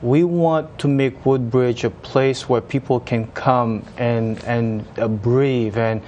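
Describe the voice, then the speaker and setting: A man's voice: medium pitch, steady tone, slow, a little mellow, lo-fi sound, slight background noise. Haitian-accented man, hesitant and earnest. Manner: hesitant, many uh fillers and restarts. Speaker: municipal engineer guest. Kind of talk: casual interview chat.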